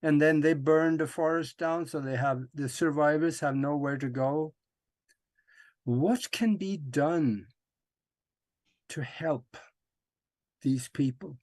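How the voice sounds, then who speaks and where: A man hosts an interview, asking slow, measured questions. His voice sits fairly high, and it is recorded dry and close. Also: darkish tone, no echo. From Norway. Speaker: interview host.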